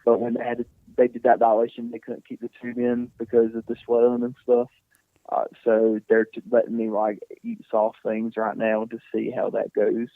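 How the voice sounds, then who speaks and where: A man's voice: mid-range voice, completely flat delivery, normal speed, no echo. Young man on a tinny phone line. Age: 20s. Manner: soft-spoken, many fillers and restarts. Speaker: singer and interview guest. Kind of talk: casual interview talk. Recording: tinny phone-like sound, dry.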